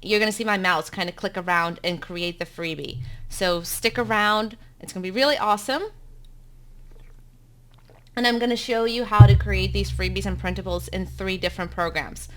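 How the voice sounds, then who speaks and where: A woman in her thirties with a South African accent, Afrikaans her first language, teaches blogging in a live webinar from her home. Her voice sits at a mid pitch, and she talks in a chatty, upbeat way, filling pauses with frequent ums. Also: fairly even intonation, fairly bright tone.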